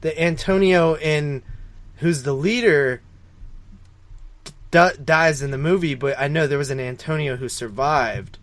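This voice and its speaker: A man's voice: fairly high pitch, a little slow, fairly bright tone. A man co-hosting a podcast, casually recapping a film in a rambling, informal way with some swearing. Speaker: podcast co-host.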